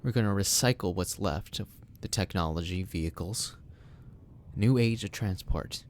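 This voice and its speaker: An actor playing a calm, measured Republic official who speaks in a dry, even way. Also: male voice, low voice, slight background noise, lightly acted. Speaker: official, son of the corporate boss. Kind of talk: fan audio drama acting.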